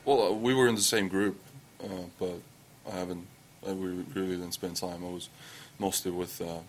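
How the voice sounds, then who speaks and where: Young man, Estonian accent, deep monotone, halting speech, faint background noise. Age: young adult. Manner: halting, many fillers and restarts, low-key. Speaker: athlete at a press conference. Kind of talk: press conference answers.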